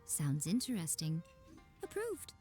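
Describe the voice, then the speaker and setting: A woman playing a poised, teasing and shrewd priestess editor in an anime game, with measured, theatrical delivery. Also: lowish voice, huge pitch swings, music in the background. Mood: amused, approving. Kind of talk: English anime game voice acting.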